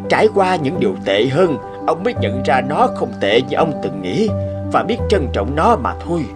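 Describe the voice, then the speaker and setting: A man voicing a calm, wry old village sage in a folktale cartoon, slow and measured. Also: mid-range voice, over loud music, natural voice. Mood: wise, gentle. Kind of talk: animated folktale cartoon dubbing.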